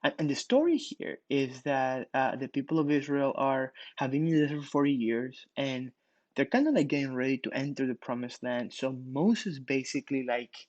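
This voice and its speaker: Man, young adult, pastor, relaxed talk full of "like", mid pitch, dry sound. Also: moderate pace, no echo, lo-fi sound. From Ecuador. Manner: casual, many fillers and restarts. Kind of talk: morning devotional.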